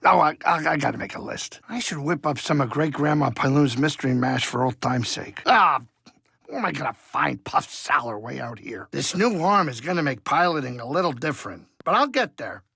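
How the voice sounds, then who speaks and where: Video game alien cook-pilot, older, grumpy and rambling; highish voice with very animated pitch, colloquial and fretful. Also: male voice, moderate pace, creaky, husky, dry recording, heavily acted. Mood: fussy, busy. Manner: colloquial, fussy, blustering, rambling. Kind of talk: video game character dialogue.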